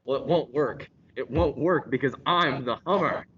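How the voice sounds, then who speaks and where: A man's voice: mid-range voice, moderate pace, a bit bright, natural voice. A man playing a vain, unravelling radio star in a hammy radio play, pompous and theatrical, repeating himself. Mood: defiant, grandiose. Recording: dull lo-fi recording with noise.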